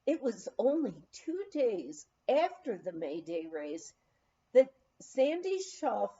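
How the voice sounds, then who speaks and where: A woman reading a memoir aloud in an expressive, measured storytelling manner. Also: on the high side. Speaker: audiobook reader. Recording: dull, lo-fi sound.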